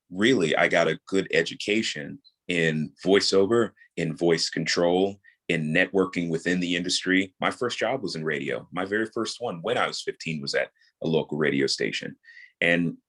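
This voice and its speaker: Very deep-voiced man in his thirties. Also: a bit bright. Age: 30s. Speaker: interview guest, audio drama creator and voice actor. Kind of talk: conversational interview.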